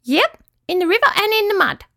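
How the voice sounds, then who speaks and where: A woman voicing a small, shy male yabby in a squeaky little storybook voice with clipped, childlike replies. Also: sing-song, brisk, creaky, bright, forceful tone, heavily acted. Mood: matter-of-fact.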